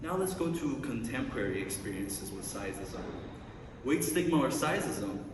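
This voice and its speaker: A man's voice: mid-range voice, very animated, moderate pace, background noise. Iranian-man in an echoey lecture hall. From Iran. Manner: upbeat, playful asides between read-out slide points. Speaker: student researcher presenting. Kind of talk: conference presentation. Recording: echoey hall, mic at a distance.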